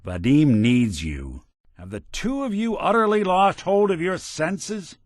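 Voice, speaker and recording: An actor playing a world-weary robot detective in a video game, gruff, wry and kindly, with old-fashioned hard-boiled slang. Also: male voice, high-pitched, sing-song, unhurried, dry recording, studio quality. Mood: encouraging, then indignant. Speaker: video game companion character. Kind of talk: noir detective game dialogue.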